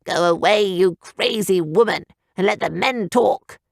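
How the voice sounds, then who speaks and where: A woman's voice: mid-range voice, measured pace, gravelly, no room sound, studio quality. A woman voicing a pompous, self-assured male town orator who blusters at the crowd. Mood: scornful.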